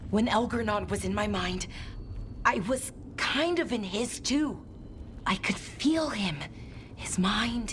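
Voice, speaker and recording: An actress playing a shaken, earnest woman mage, a freed captive, speaking haltingly in a dramatic game cutscene. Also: mid-range voice. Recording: faint ambient noise behind the voice.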